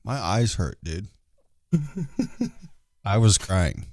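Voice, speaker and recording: A hoarse man co-hosting a live show, chatting casually and laughing often. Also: lowish voice, very animated, extremely slow, a little mellow, no room sound, clean studio sound. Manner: laughs a lot. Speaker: co-host.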